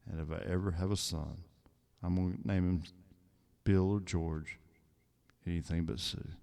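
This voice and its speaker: A man with a soothing voice reads slowly and calmly in a soft ASMR style. His voice is very deep and dark, with a gravelly edge. The delivery is unhurried. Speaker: ASMR creator. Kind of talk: soft-spoken ASMR reading of song lyrics.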